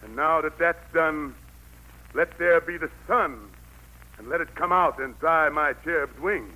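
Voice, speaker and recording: God as a genial southern country daddy, bright forceful voice, kinda high, old noisy radio play. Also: male voice. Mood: commanding. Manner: folksy, commanding, unhurried. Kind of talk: 1940s radio play acting.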